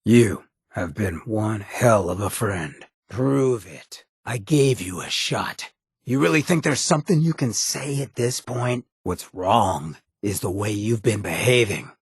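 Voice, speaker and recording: Very raspy, roguish ghoul. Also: male voice, lowish voice, lively intonation, unhurried, no room sound, studio quality, exaggerated voice. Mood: warm, candid. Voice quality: husky, gravelly rasp. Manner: streetwise slangy drawl with swearing. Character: raspy, roguish ghoul mayor, streetwise, blunt, loyal. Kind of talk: video game companion dialogue.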